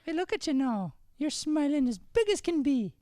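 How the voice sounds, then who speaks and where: A man's voice: very high-pitched, big pitch swings, moderate pace, mellow, no echo, clean studio sound. A man voicing a chatty, forthright Irish schoolgirl in an improvised radio comedy. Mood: encouraging, lively. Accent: Irish, put on. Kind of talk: improvised old-time radio comedy play.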